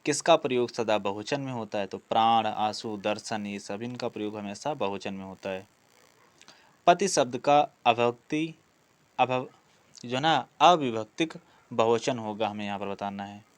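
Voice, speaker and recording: A man's voice: on the low side, moderate pace, clear, resonant tone, no room sound. A man teaching an online exam-prep class, reading out quiz questions and explaining the answers, often repeating key words. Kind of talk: online lesson, working through quiz questions.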